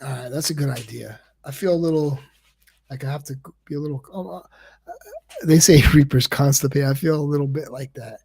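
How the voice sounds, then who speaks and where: A man's voice: mid-range voice, fairly quick, vocal fry, a little mellow, husky. Man, livestream host, casual chat, rambling, stuttering repeats.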